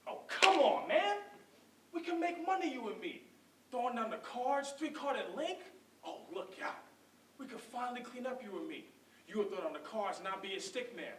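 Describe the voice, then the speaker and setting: A man performing an eager, scheming hustler in a theatrical monologue, talking persuasive, excited street talk. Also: extremely high pitch, normal speed, slight background noise, voice pushed up. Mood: excited, urging. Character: eager, scheming, smooth-talking hustler pitching his brother. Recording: echoey room from distant mic.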